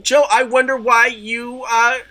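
A woman's voice: a little high, flat delivery, unhurried, pushed and bright. A woman improvising a comic role-play as an eccentric, self-dramatizing therapy enthusiast, earnest, effusive and theatrical. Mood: self-justifying.

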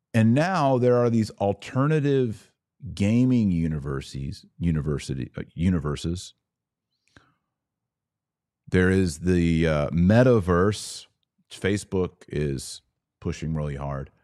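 A middle-aged American man with a very low voice speaks as a podcast host. He delivers an opinionated monologue in short, punchy, emphatic phrases. The sound is dry, with no room echo. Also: dark tone.

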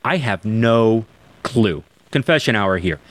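A man's voice: lowish voice, lively intonation, takes it slowly, no echo. A man in his forties, talking in an emphatic, preacherly way and turning to address listeners directly in a podcast conversation. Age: 40s. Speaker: church planting guest.

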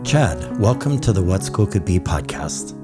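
A man's voice: deep voice, big pitch swings, measured pace, over music. A man from Hawaii hosting a podcast, asking reflective, conversational questions of his guest. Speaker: podcast host. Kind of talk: podcast interview.

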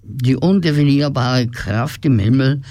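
A man's voice: medium pitch, unhurried, darkish tone, dry recording, clean studio sound. A man narrating a radio play in a scripted, fairy-tale storytelling style.

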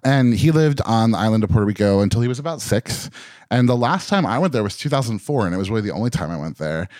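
A man from Westchester County, New York, hosting a casual group podcast conversation and asking questions in a relaxed, chatty way. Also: fairly low pitch, quick pace, no room sound. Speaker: podcast host. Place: indoor room.

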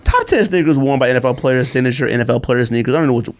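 A man's voice: mid-range voice, rapid-fire. A man hosting a podcast in a casual, slangy, teasing way, like chatting with a friend. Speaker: podcast host. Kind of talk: casual banter.

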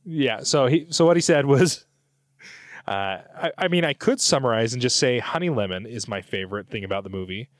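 A man's voice: mid-range voice, lively intonation, brisk, no echo, clean studio sound. A middle-aged man hosting a podcast, chatting casually and reading out listener comments in a rambling, self-correcting way. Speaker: podcast host.

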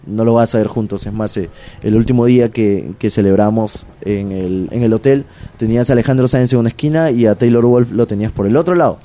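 A man's voice: fairly low pitch, a little fast, mellow. A Peruvian man, a pro wrestler being interviewed, talking casually and animatedly with lots of slang and fillers. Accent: Peruvian Spanish. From Peru.

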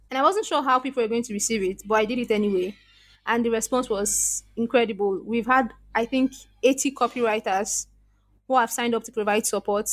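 A Nigerian woman speaks as a podcast guest, her voice sitting a little higher than most. She tells her story earnestly and reflectively, often restarting her sentences. The recording is dry and close, with no room sound. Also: no room sound. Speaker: podcast guest, freelance copywriter. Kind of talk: casual interview conversation.

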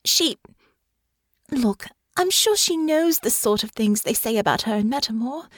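A woman's voice: fairly high pitch, lively intonation, measured pace, no room sound, clean studio sound, voice pushed up. A woman voicing an earnest, well-spoken young woman with formal, polite phrasing and open feeling. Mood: earnest, rueful.

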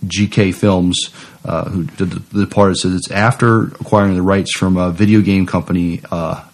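A man co-hosting a podcast, chatting casually in a measured, somewhat tentative way and at times reading news copy. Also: low-pitched, fairly even intonation. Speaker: podcast co-host.

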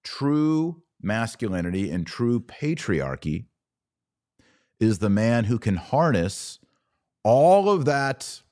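Low-voiced man, emphatic podcast host. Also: darkish tone. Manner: emphatic, didactic, conversational, with deliberate pauses. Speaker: podcast host and religious commentator. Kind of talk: live podcast commentary, answering audience comments.

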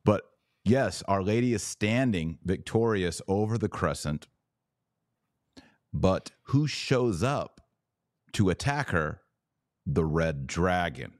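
A man's voice: low voice, expressive, slow, long pauses, no echo, studio quality. A man from the United States hosting a podcast, giving casual commentary in a punchy, informal, emphatic way. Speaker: podcast host and author.

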